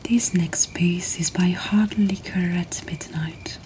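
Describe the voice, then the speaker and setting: Slow, whispering woman reading poetry. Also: fairly low pitch, steady tone, a little mellow. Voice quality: whispered, breathy. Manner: slow, soothing, intimate. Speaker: host of a poetry reading series.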